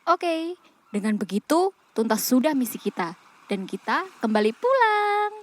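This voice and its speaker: A woman's voice: sits high, big pitch swings, a little slow. A woman voicing a cheeky, teasing young spy in a children's puppet play. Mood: ready.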